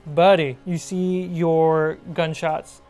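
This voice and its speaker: Casting: female game master, lower-pitched voice, narrating tabletop play over faint music. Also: level tone, slow pace. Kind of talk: running a tabletop role-playing game.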